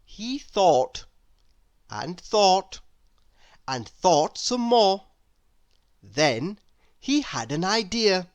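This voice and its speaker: A man reading a children's story aloud with dramatic, deliberate, expressive narration. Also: sits high, clean tone, no room sound. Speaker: storybook reader.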